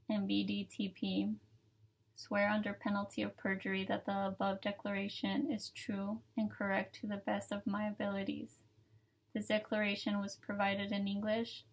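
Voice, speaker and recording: A young woman seated at a table, reading a legal declaration aloud in a steady, measured way. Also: medium pitch, rough recording. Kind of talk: solemn reading of testimony. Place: indoor room with dark curtain, seated at table.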